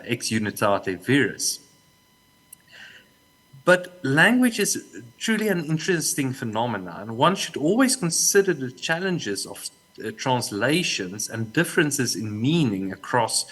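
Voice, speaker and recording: A man's voice: mid-range voice, fairly bright tone. A man from South Africa, a native Afrikaans speaker with a South African accent, giving a remote conference talk, often restarting and repeating words, with dry humor. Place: remote talk, not in the hall.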